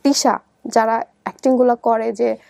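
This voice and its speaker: A woman's voice: a little high, flat delivery, moderate pace, creaky, a little mellow, no room sound. A young woman with a Bangladeshi accent telling her personal story in an interview, conversationally acting out what people said. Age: young adult. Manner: conversational, often re-enacts quoted dialogue.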